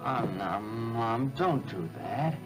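An actor playing a nervous, homesick young American soldier in a 1940s film, halting and gentle, with an American accent. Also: male voice, mid-range voice, lively intonation. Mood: tender, reassuring. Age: young adult. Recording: old film soundtrack with music.

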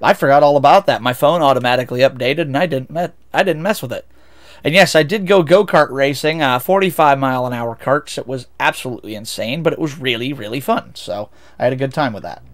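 A man's voice: medium pitch, fast. A young man with a Midwestern American accent, chatting with viewers while streaming a racing game, rambling and correcting himself as he goes. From United States. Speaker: VTuber streamer.

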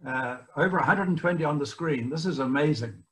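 An older man with a New Zealand accent, giving a guest speech over a video call from his home library, often pausing with fillers. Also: fairly high pitch, a bit bright. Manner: halting, many fillers and restarts. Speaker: guest speaker.

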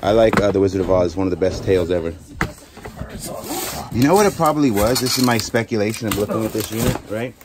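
Chatty storage-hunter guy, lowish pitch, recorded on camera in a noisy unit. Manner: chatty, speculating, quick pitch jumps when excited. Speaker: storage auction vlogger. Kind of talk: casual chat while sorting a storage unit.

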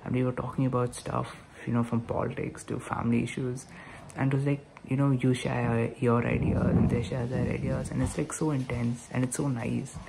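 A man's voice: mid-range voice, moderate pace, creaky, background noise. A young man from India, a law student casually describing his experience and filling his talk with "like" and "you know". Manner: relaxed, filler-heavy, says "like" and "you know". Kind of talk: casual testimonial. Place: small bedroom.

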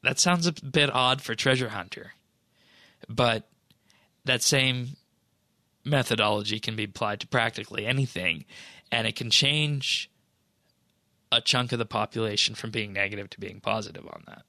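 A man co-hosting a podcast, chatting casually about game news in a measured, hesitant way. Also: mid-range voice, sharp tone, no echo. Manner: measured, hesitant, drawn-out phrasing. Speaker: podcast co-host. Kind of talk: casual gaming news chat.

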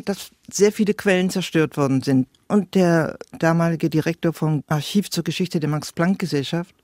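A woman speaks as an interviewed biographer, recounting her research. Her voice is low for a woman, and the recording is dry. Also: a little mellow, dry recording. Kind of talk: interview recollection.

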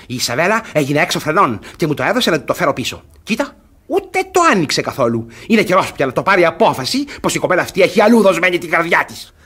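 A pompous jealous guardian in an old comedy play, rough husky voice, all over the place, repeats himself. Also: male voice, on the high side, heavily acted. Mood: haughty. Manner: excitable, self-satisfied, repeating words. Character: smug, jealous, gullible middle-aged guardian and suitor. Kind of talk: theatrical radio comedy acting.

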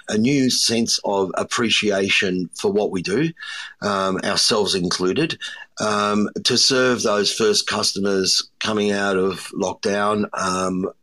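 A middle-aged man from Australia, a restaurateur answering interview questions thoughtfully, with many pauses and restarts. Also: on the low side, a bit bright. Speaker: restaurateur and chef, guest.

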